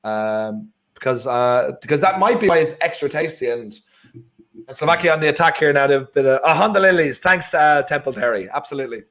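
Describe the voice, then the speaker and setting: An Irishman co-hosting a football watchalong who talks loosely and wanders off mid-thought, starting sentences over. His voice sits a little high for a man. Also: lively intonation, a little fast, a bit bright. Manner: chatty, rambling, often restarts and trails off. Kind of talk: casual live match chat.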